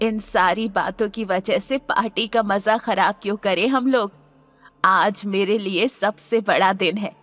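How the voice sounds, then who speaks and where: Woman acting a slow-spoken, proud grandmother. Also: mid-range voice, a bit bright. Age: older. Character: proud, status-loving rich grandmother and family matriarch. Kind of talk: Hindi audio drama acting.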